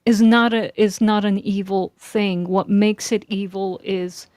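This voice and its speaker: Slow-talking woman, close dry sound. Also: medium pitch, fairly even intonation, darkish tone, dry recording. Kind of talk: casual reflective discussion.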